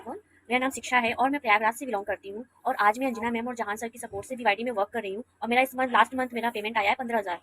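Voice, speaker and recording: A woman giving a quick, rehearsed testimonial. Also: on the high side, fairly even intonation, fairly bright tone, slight background noise, rough recording. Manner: rapid, rehearsed delivery. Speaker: team member giving a testimonial.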